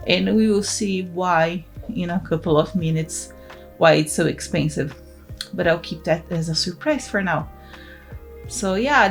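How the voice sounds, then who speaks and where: A woman's voice: on the low side, vocal fry. A young woman from Brazil, a native Portuguese speaker, talking in English with a Brazilian accent in a casual, laughing commentary over her game build. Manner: chatty, laughs often, restarts words. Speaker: gaming channel host.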